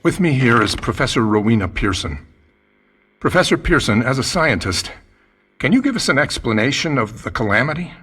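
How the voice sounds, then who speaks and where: An actor playing a grave, urgent radio news reporter giving a formal eyewitness report in a radio drama. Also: male voice, mid-range voice, very animated, pushed and bright, husky, heavily acted. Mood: shaken, urgent. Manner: formal, measured on-the-scene reporting.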